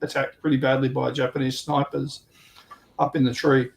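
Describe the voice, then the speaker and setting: A man on a livestream panel, telling stories casually and repeating himself in a halting way as he explains. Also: mid-range voice, level tone, vocal fry. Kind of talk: casual chat, informal storytelling.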